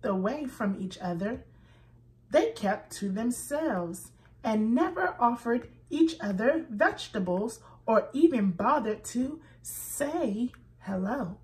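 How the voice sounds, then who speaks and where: A woman reading a children's picture book aloud, slow and expressive, pointing out letter sounds for young listeners. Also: on the high side, rough recording. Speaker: children's story reader.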